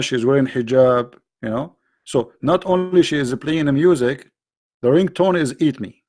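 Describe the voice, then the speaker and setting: A man's voice: on the high side, darkish tone. A middle-aged man hosting a live stream, lecturing emphatically on religion in non-native English with frequent restarts and reading out viewer questions.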